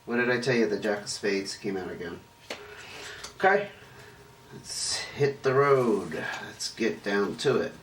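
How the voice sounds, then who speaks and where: Hoarse man reading tarot cards. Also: fairly low pitch, lively intonation, measured pace, long pauses, a bit bright. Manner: chatty, rambling, self-correcting. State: hoarse, voice rough and deeper from illness. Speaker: tarot reader and channel host. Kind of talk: casual tarot card reading to camera. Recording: roomy echo, distant mic, faint noise.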